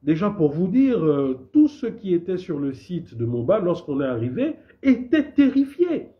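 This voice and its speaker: A man from Gabon, fighting a cold, speaks out as a civil society activist in an indignant, emphatic voice that swings widely in pitch and sits fairly high. He keeps stumbling and repeating words, then pushes on. The recording is dull and lo-fi. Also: very animated, normal speed. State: has a cold. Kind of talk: impassioned public statement.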